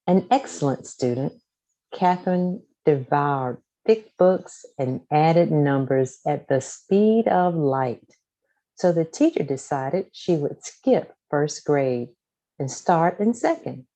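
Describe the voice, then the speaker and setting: A woman reads a children's storybook in a low, mellow voice. She takes her time, phrasing each line deliberately and expressively for young listeners, with plenty of rise and fall in her pitch. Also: animated, rough recording. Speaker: storybook reader. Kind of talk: scripted children's story read-aloud.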